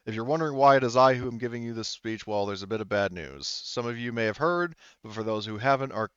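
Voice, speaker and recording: A man voicing a grave sheriff giving a formal, measured speech to colonists in a tabletop game. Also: mid-range voice, lively intonation, a bit bright, clean tone, no echo, dull audio, natural voice. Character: formal sheriff addressing new space colonists, male, grave.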